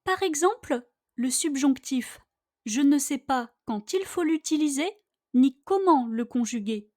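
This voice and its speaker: A woman with a high voice reads scripted lines for a language lesson. She goes very slowly, phrasing each line with care so that learners can repeat it. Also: lively intonation, darkish tone. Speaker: language teacher. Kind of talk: scripted dialogue read slowly for listen-and-repeat practice.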